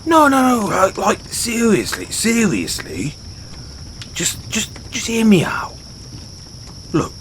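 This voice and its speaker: Man playing a halting, animated British orc barbarian. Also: sits high, a little hiss or hum, full character voice. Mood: earnest, admiring. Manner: halting, stammering, blunt and self-deprecating. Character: gruff but sheepish, dim orc barbarian adventurer, adult male. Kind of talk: fantasy audio roleplay, speaking to a companion. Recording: outdoor night ambience with crickets.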